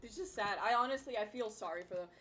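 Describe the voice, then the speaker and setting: A woman's voice: medium pitch, fast talker, noisy background. A woman streaming a game and chatting informally with viewers, speaking casually with some mild swearing. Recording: loud game gunfire and music behind. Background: video game gunfire and music.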